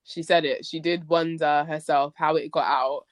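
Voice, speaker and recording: A woman hosting a casual podcast chat about a TV series, expressive and slangy. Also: low voice, moderate pace, no echo, clean studio sound. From Nigerian background. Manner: expressive, slangy, acts out quoted lines. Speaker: podcast host.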